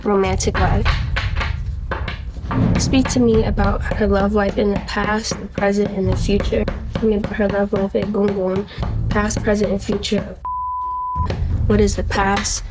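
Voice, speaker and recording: A woman's voice: medium pitch, level tone, unhurried, long pauses, heavy background noise. A woman hosting as a tarot reader, explaining things conversationally and addressing the listener directly.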